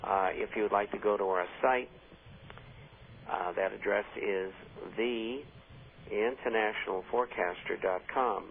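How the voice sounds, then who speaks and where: A man hosting a radio talk show, speaking slowly and haltingly with many fillers while losing his voice. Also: fairly low pitch, fairly even intonation, gravelly. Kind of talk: casual radio talk and listener questions. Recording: tinny phone-line radio audio with faint hiss.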